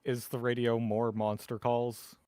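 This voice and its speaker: A man talks casually as a player in a tabletop game. His delivery is slow, halting and wry, at an average pitch and with very little room sound. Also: flat delivery, darkish tone, clear, resonant tone, no echo, clean studio sound.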